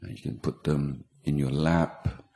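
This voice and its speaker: An extremely low-pitched man guides a meditation. He speaks slowly and carefully, breaking his instructions into short phrases with pauses between them. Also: fairly even intonation, gravelly, mellow. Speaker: meditation teacher, Buddhist monk. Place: meditation retreat talk in Thailand.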